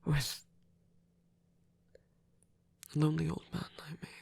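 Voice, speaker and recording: A man performing a soft ASMR roleplay as a lonely, gentle old vampire priest, speaking haltingly and tenderly in formal words, breathy and often near a whisper. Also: a little high, fairly even intonation, no echo, exaggerated voice. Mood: wistful, tender.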